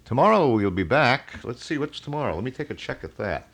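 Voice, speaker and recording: A man's voice: medium pitch, sing-song, fairly quick, fairly bright tone, husky. A middle-aged man hosting a local radio call-in show, chatting casually in a folksy, informal way on a 1980 broadcast.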